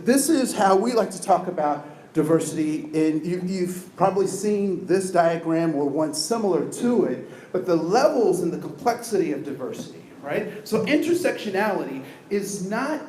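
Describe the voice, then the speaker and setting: A man giving an energetic, interactive diversity training talk, asking the audience questions. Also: on the high side, echoey room, a little hiss or hum, low-quality recording. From United States.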